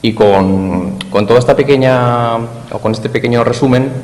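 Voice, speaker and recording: A man's voice: mid-range voice, normal speed, darkish tone. A man with a Spanish accent giving an academic conference talk in a lecture hall, explaining and addressing his audience directly. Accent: Spain. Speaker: university lecturer. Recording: reverberant hall, faint noise.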